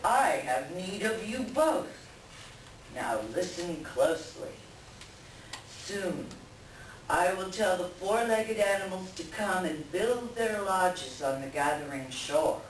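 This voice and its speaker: A woman playing a grave, commanding leader of the animal people in a readers theater folktale, speaking deliberately. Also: medium pitch. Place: library room at a live reading. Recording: echoey room, distant mic, faint noise.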